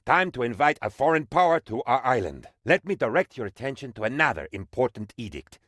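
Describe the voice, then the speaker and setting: A man's voice: medium pitch, very animated, brisk, fairly bright tone, no room sound, studio quality. A man playing a fawning, cheerful advisor to a tropical dictator, speaking as an eager, theatrical guide in a video game tutorial. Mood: eager, rallying.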